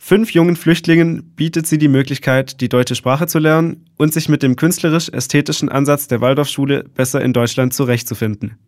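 A man presenting a radio program, reading a scripted introduction in a measured, clear announcing manner. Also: mid-range voice, steady tone, a little mellow, no room sound, clean studio sound.

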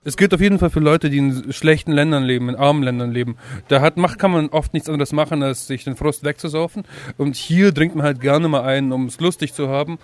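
A man's voice: a little high, fairly quick, dry recording. A man answering interview questions in a blunt, matter-of-fact way.

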